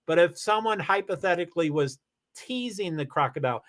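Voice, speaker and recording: A man with a somewhat high voice chats loosely to a live-stream audience. He rambles, restarts his sentences and throws in lots of exclamations. His energy stays animated throughout. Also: a little fast. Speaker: live streamer reading chat. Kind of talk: casual live-stream chat. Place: home in Washington State.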